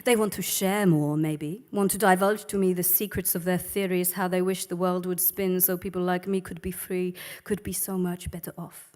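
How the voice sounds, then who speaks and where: A woman's voice: fairly low pitch, flat delivery, moderate pace, hardly any pauses, darkish tone, close to an everyday voice. A woman putting on a wry Egyptian woman. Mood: scornful. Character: wry, worldly Egyptian woman, sharp-tongued and unimpressed. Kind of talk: dramatic reading of a monologue.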